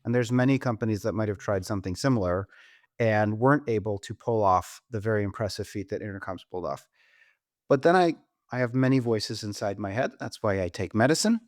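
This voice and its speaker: A man's voice: lowish voice, lively intonation, brisk, no echo. Man podcast host with emphatic delivery, dry sound. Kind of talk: conversational business podcast discussion.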